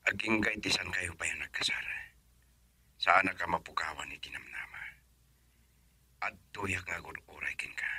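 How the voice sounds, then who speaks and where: A man playing a lovelorn young man in a radio drama, speaking softly with a yearning tone. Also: low-pitched, normal speed, long pauses, breathy edge, no echo, full character voice. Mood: longing. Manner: soft, hushed, yearning delivery. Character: lovelorn young man pining for his sweetheart.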